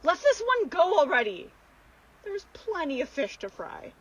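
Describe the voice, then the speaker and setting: A woman playing a sly, bossy seductress spirit in a radio horror drama, coaxing her friend with drawn-out, emphatic words. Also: very high-pitched, very animated, exaggerated voice, low-quality recording.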